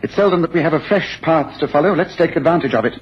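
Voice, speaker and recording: A man playing a brisk, observant, commanding gentleman detective in a radio drama, speaking in an analytical, precise way. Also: a little high, natural voice. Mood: brisk, urgent. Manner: analytical, precise, deliberate, authoritative. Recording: muffled, faintly noisy radio drama recording.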